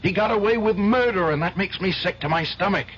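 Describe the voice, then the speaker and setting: An actor playing an earnest, troubled defense attorney in a 1970s radio drama, weary at times and urgently pleading at others. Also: male voice, high-pitched, a little fast, fairly bright tone. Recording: dull old radio recording, faint hiss.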